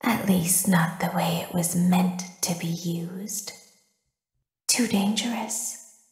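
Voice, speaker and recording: A woman voicing an eerie, childlike animatronic girl in a video game, wistful and sinister, speaking in a measured, detached way with deliberate pauses. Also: on the low side, steady tone, a little mellow. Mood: hushed, menacing. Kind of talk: video game character monologue. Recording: echoey hall with long reverb.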